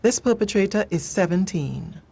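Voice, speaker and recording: A woman playing a grave, measured narrator of a radio drama. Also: medium pitch, lively intonation, vocal fry, dark tone, husky. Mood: solemn. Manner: measured, solemn. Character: grave, all-knowing female narrator.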